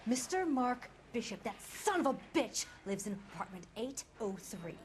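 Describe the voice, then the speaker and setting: Casting game drama: ruthless, angry female schemer, higher voice with lively range, faint noise behind. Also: moderate pace. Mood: cold menace. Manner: pleading turning sharp and threatening. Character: demanding angry woman giving ruthless orders by telephone. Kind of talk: video game cutscene acting.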